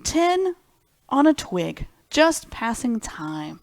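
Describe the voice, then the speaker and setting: A woman's voice: a little high, very animated, very slow, creaky, no room sound. A woman hosting a children's library storytime, reading picture books expressively and speaking simply and directly to young listeners.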